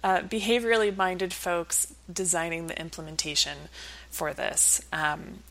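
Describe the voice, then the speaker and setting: Slightly low-voiced woman explaining as expert guest. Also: steady tone, unhurried, gravelly, fairly bright tone, poor audio. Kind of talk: conversational interview explanation.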